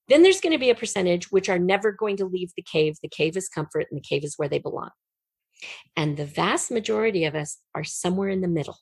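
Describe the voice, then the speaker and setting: A middle-aged woman giving an earnest, emphatic and expressive explanation as a guest in a conversational interview. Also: fairly low pitch, no echo. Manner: earnest, emphatic, expressive storytelling with illustrative metaphors. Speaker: podcast guest, writer.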